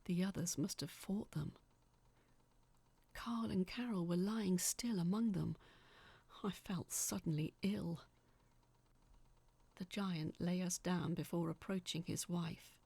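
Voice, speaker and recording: Gravelly, slow-paced woman narrating a story. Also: fairly low pitch, dark tone, dry recording. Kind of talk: scripted first-person story narration.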